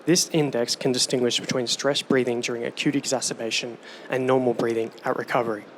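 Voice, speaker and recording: A man giving a rehearsed startup pitch on stage with a steady, polished delivery. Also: a little fast, slight background noise. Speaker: startup presenter.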